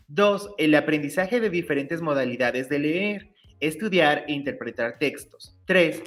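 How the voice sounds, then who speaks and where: A man, hosting his own channel and clearly explaining teacher-exam study material in an upbeat, explanatory way. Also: fairly high pitch, fairly bright tone. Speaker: YouTube channel host, teacher-exam tutor. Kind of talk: educational explainer reading curriculum text.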